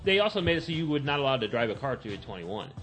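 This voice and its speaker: Chatty guy on a podcast, fast talker, rambly stories, music in the background. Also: mid-range voice, sing-song, fairly bright tone. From grew up partly in Germany. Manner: chatty, tells stories, spells things out. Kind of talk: casual chat.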